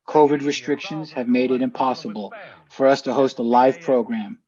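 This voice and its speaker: A man reading a scripted introduction to a program in a measured, articulate presenter's delivery. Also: medium pitch, warm tone, highs cut off. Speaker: team representative introducing the show.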